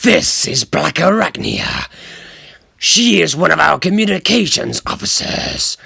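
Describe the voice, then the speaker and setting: An actor playing a gruff robot leader in an audio drama, raspy and formal in manner. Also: male voice, fairly high pitch, big pitch swings, measured pace, pushed and bright, no room sound, clean studio sound, full character voice. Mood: formal, approving. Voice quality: raspy, gravelly.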